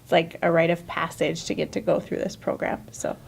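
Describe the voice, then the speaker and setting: A woman who teaches third grade, answering interview questions in a measured, matter-of-fact way. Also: deep voice, little pitch movement, creaky, husky.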